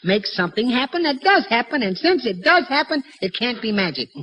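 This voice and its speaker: A man's voice: extremely high pitch, very animated, moderate pace, sharp tone, full character voice. An actor playing a good-natured, hapless husband and father in a 1940s radio sitcom, stammering and giggling as he talks. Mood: fatherly, muddled. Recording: noisy 1940s radio, studio audience behind.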